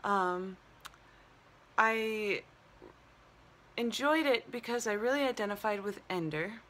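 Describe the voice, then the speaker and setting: A woman's voice: medium pitch, animated, takes it slowly, a bit bright. A woman hosting a casual solo movie review, talking in loose, hesitant phrases as she thinks aloud. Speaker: movie review show host.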